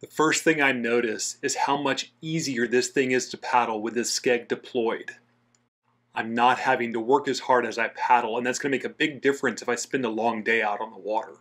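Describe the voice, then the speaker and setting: A man's voice: medium pitch, fairly even intonation, a little fast, a bit bright. A man narrating a scripted how-to voice-over as the host of a DIY outdoors channel.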